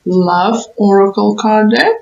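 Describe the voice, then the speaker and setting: Slow-talking woman, echoey tarot reading. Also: mid-range voice, gravelly, background noise. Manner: rambling, gently encouraging. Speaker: tarot reader. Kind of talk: casual tarot reading, advice to viewers. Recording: roomy echo, dull muffled sound.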